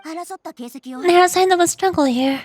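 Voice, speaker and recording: Woman playing a high-voiced, proud female tracker. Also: slow pace. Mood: serious.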